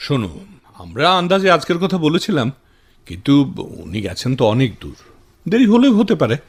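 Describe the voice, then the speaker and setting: A man's voice: on the high side, huge pitch swings, brisk, breathy edge, exaggerated voice. An actor playing a considerate, practical male relative who talks politely and reassuringly in a Bengali radio drama. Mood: practical, steady.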